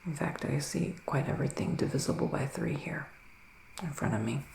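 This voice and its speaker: Guy doing asmr psychic witch reading, soft whispery voice, slow n soothing, bit roomy with faint hiss. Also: a little high. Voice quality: soft, breathy, often dropping to a whisper. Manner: slow, deliberate, pausing, soothing delivery. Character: gentle male witch giving a psychic reading. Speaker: ASMR performer playing a psychic tarot reader.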